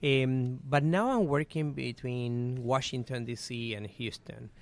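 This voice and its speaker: A man's voice: mid-range voice, unhurried. A middle-aged man from Venezuela with a Venezuelan Spanish accent, answering interview questions earnestly with many "eh" and "um" fillers. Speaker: guest.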